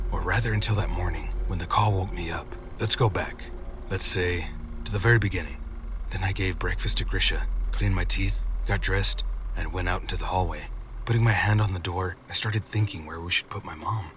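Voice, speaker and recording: Deep-voiced male horror story narrator. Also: fairly even intonation, fairly quick, a bit bright, distant mic, slight background noise. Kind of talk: scripted first-person scary story narration.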